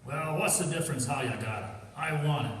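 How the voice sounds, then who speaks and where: A man's voice: a little high, fairly quick, distant mic, a little hiss or hum, close to an everyday voice. Man playing sneering gangster in an echoey hall. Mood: threatening. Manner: threatening, sneering. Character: menacing male gangster gunman, impatient tough crook. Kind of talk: old-time radio detective drama acting.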